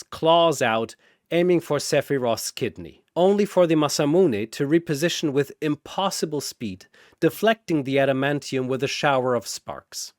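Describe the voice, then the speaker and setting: Male narrator for battle fiction: theatrical delivery, very animated, close dry sound. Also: medium pitch, dry recording. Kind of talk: dramatic scripted fan-fiction battle narration.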